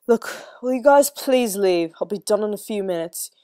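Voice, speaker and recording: A woman voicing an exasperated young man who scolds loudly, then fusses over sleepy children in a fanfic reading. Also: medium pitch, animated, moderate pace, clean tone, dry recording, clean studio sound, lightly acted. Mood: apologetic. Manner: scolding, flustered.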